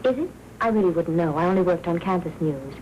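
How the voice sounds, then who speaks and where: Woman acting an eager, low-voiced young woman. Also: expressive, quick pace, darkish tone, highs cut off, lightly acted. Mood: pleading, confiding. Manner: polite, earnest, coaxing. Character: eager, wheedling young female would-be cub reporter. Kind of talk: 1940s film noir acting. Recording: noisy 1940s film soundtrack.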